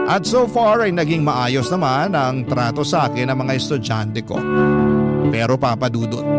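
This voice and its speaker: A man narrating a scripted first-person story as a radio drama host, in a measured storytelling delivery with pauses. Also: medium pitch. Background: guitar music.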